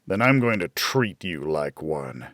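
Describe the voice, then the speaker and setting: Man voicing a slow, menacing, cruel father. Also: sits low, big pitch swings, a bit bright, no echo, studio quality, voice pushed down, heavily acted. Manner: taunting, menacing, drawn-out delivery. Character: cruel, drunken, mocking boxer father, adult man.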